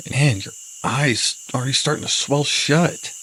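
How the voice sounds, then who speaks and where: An actor playing a loyal, down-to-earth friend in an audio drama, talking in a casual, concerned way. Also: male voice, mid-range voice, measured pace, bright, forceful tone, slight background noise, poor audio. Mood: concerned, wry. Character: loyal, down-to-earth male friend coming to the rescue.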